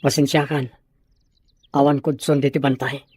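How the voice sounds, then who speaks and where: A man's voice: medium pitch, level tone, normal speed, a little mellow, slightly rough, close to an everyday voice. A man playing a concerned young rural brother in an audio drama, earnest and questioning. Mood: reassuring.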